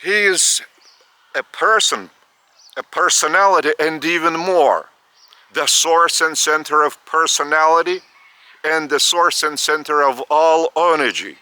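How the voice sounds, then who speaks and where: Older lithuanian-accented guy giving a spiritual talk outside, real slow, bright edgy voice, keeps repeating himself. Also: on the high side, no room sound. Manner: slow, halting, repeats words. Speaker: spiritual teacher. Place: outdoors by a school stadium.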